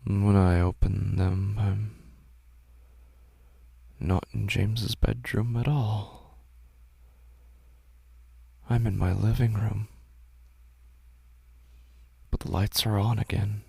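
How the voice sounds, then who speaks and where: A man giving scripted first-person horror narration in a measured, hushed, uneasy way. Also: lowish voice, level tone, vocal fry, slightly rough, dry recording. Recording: noisy ambient sound bed.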